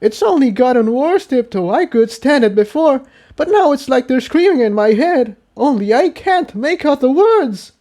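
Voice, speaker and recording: A man's voice: very high voice, expressive, normal speed, warm tone, voice pushed up. A man voicing an anguished, angry young male unicorn pony in a fantasy story, agitated and argumentative. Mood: tormented.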